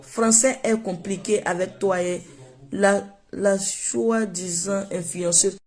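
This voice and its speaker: A woman's voice: medium pitch, steady tone, takes it slowly, darkish tone. A woman whose first language is not French, speaking emphatically and repeating herself in a casual live-stream monologue on her phone. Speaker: social media live streamer.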